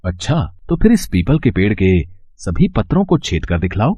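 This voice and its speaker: Guy playing a majestic wise god in a myth story, low husky voice, stately and calm, very dry sound. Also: dry recording, lo-fi sound. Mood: shrewd, questioning. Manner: measured, stately, formal. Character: calm, all-knowing male god, shrewd and majestic. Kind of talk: scripted mythological storytelling drama.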